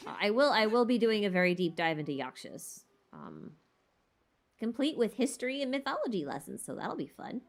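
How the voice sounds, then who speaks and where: A woman's voice: medium pitch, big pitch swings, brisk. A woman streamer chatting casually and thinking aloud in a tentative, rambling way as she answers questions. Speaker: streamer hosting a lore Q&A. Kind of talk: casual rambling livestream chat about game lore.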